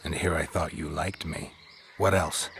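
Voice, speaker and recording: A man playing a stoic mutant monster hunter in a video game, terse and deadpan with a dry wit. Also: a little slow, a little hiss or hum, heavily acted. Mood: dry, wary. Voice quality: husky, gravelly low voice.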